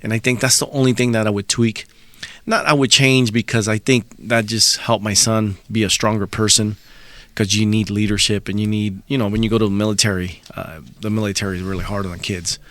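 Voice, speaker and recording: Low-voiced, easygoing man from El Salvador. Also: little pitch movement, brisk, a bit bright, dry recording. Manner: easygoing, reflective storytelling. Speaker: podcast guest. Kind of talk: casual podcast conversation.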